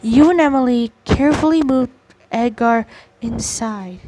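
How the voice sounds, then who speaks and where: A woman gameplay streamer reading game dialogue aloud in a halting, stumbling way. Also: on the high side, dark tone.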